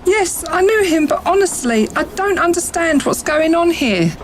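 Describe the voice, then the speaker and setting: A woman's voice: very high voice, expressive, moderate pace. A woman playing an indignant, defensive murder suspect in an amateur radio play. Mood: defensive, upset. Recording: noticeable background noise.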